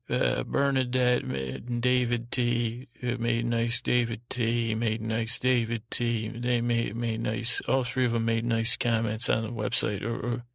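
A man hosting a bedtime podcast in a meandering, halting monologue, repeating himself and trailing off, gently self-deprecating. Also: mid-range voice, gravelly, dry recording, studio quality. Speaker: podcast host. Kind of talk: rambling sleep-podcast monologue with listener thanks.

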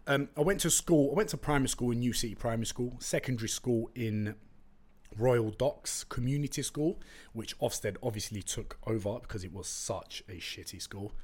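A man's voice: medium pitch, normal speed, breathy edge. A young man from East London with a London accent, a Turkish native speaker, hosting a casual solo podcast in a chatty, slangy, joking way. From East London, England. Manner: chatty and animated, slangy, jokey asides. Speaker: podcast host.

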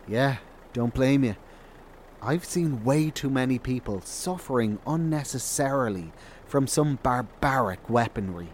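An actor playing a blunt, world-weary, defiant survivor in a naturalistic horror audio drama. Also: male voice, medium pitch, lively intonation, a little slow, a little mellow, a little hiss or hum, natural voice. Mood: wary, wry. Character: jaded, defiant lone male survivor in a horror apocalypse.

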